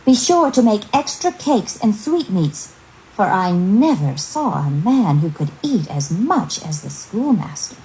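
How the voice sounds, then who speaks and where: A woman's voice: medium pitch, very animated, a little slow, warm tone, voice pushed up, low-quality recording. A woman voicing a bossy, easily impressed farmhouse mother in a storybook tale, fussy and instructing. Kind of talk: storybook character voice.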